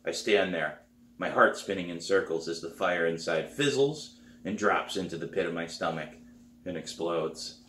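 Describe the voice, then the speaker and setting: A middle-aged man reading his novel aloud as a storybook narrator, with an expressive, dramatic delivery. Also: low-pitched, normal speed, a little hiss or hum. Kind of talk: storybook read-aloud, first-person narration. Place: small living room, indoors. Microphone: camera a few feet away. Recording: roomy, echoey living room.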